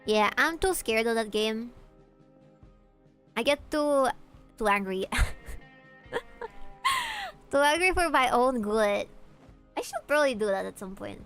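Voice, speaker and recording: A woman's voice: a little high, measured pace, pauses often, smooth, soft background music. A young woman from Peru whose first language is Spanish, chatting casually and swearing freely while streaming a game. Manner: chatty and animated, swears freely, reacts to chat.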